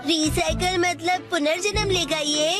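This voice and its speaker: Cheeky little cartoon boy, super high drawly slow voice, loud music behind. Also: fairly even intonation. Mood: playful. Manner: slow, teasing, playful. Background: children's cartoon music.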